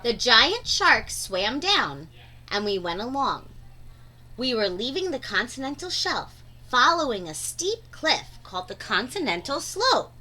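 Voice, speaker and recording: Woman, children's read-aloud, slow pace, bright voice, dramatic drawn-out emphasis. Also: a little high, very animated, rough recording.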